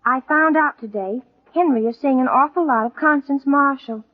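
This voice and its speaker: An actress playing a scheming, coaxing teenage daughter in a 1930s radio comedy. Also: female voice, sits high, normal speed, close to an everyday voice. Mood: coaxing, pleading. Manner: earnest, coaxing. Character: scheming, pleading teenage daughter and jealous sweetheart. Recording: muffled old 1930s radio recording.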